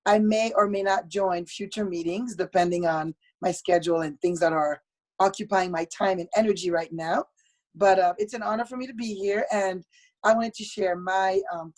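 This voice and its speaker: A woman from Haiti joins a video call as a guest and speaks from notes. Her pace is measured and her tone is polite, at a middle pitch for a woman. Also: fairly even intonation, darkish tone. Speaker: meeting guest. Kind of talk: reading prepared remarks on a video call.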